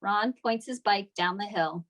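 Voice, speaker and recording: A woman serves as narrator at a script table read, reading out the action in scripted narration. Her voice is mid-pitched. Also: unhurried, a bit bright. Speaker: narrator of stage directions.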